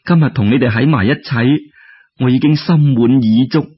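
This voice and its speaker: A man's voice: medium pitch, normal speed, breathy edge, highs cut off, lightly acted. A man with a Cantonese accent voicing a devoted, long-suffering young bride in a storybook tale, heartfelt and pleading. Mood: gentle reassurance.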